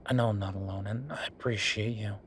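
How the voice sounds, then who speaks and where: A man's voice: fairly low pitch, fairly even intonation, fairly quick, lightly acted. A man playing a frustrated, world-weary werewolf official talking intimately with his partner in an audio roleplay, in a conversational, sighing way. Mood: resigned, determined. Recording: clear background noise.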